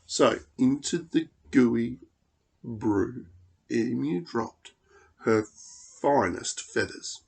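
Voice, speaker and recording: A man reading a children's storybook aloud as an expressive narrator. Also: medium pitch, drawn-out words, gravelly, pauses often. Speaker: storybook reader.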